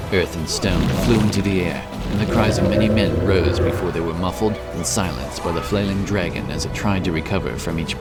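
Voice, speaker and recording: A man reading a fantasy audiobook as narrator, in a dramatic scripted style. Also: low-pitched. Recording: loud epic music behind the voice. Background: loud epic music.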